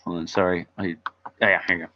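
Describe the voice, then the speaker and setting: A man's voice: sits low, flat delivery, brisk, noisy background. A man narrating stage directions from a script at an informal table read, heard over lo-fi video call audio.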